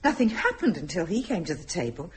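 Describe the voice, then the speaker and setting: An actress playing an anxious wife confiding her fears haltingly and intensely in a radio play. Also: fairly low pitch, very animated, slightly rough, exaggerated voice. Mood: fearful, brooding.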